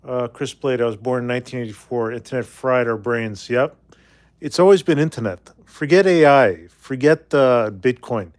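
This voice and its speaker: A man's voice: medium pitch, moderate pace, darkish tone. Forties male streamer from Canada; loose, rambling, blunt comment-reader. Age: 40s. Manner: rambling, reads viewer comments aloud, blunt. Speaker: livestream host. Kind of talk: casual livestream chat reading comments.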